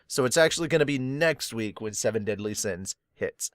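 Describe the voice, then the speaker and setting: A young man chats casually through a manga review on his podcast, trailing off and restarting as he goes. His voice is mid-pitched, and the recording is dry and close. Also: animated, moderate pace, no echo. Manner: rambling, filler-heavy, chatty. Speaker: podcast host.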